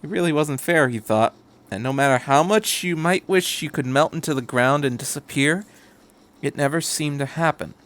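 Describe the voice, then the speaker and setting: A man's voice: on the high side, moderate pace, a bit bright, a little hiss or hum. A man reading a piece of fan fiction aloud as a scripted story narration.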